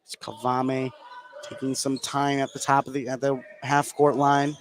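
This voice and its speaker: A man's voice: mid-range voice, level tone, moderate pace. An American man giving live play-by-play radio commentary on a basketball game. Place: indoor high school gym during a game. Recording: clear background gym noise.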